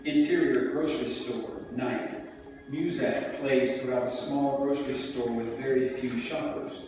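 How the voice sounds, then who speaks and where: A man's voice: medium pitch, steady tone, unhurried, a little mellow, far from the mic, slight background noise. A man reading stage directions as scripted narration at a table reading. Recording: very echoey hall from afar, music.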